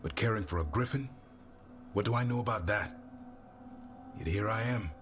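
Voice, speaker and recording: Low-voiced, earnest warrior man played by an actor. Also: normal speed, a bit bright, close to an everyday voice. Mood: reflective, worried. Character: gruff male warrior, griffin guardian, earnest and burdened. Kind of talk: video game dialogue. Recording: game audio with music behind.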